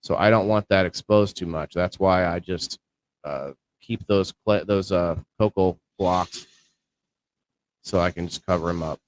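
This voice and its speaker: A man co-hosting a casual livestream about indoor growing, talking in a low-key, fairly monotone way. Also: measured pace. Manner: low-key, self-described monotone delivery. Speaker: co-host of a live-streamed indoor growing show.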